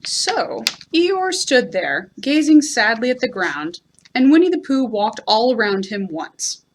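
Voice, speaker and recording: A woman reads a children's storybook as a storyteller would. Her voice is fairly high and very melodic, rising and falling widely. She phrases deliberately and expressively. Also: sing-song, a little hiss or hum. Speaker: library storyteller.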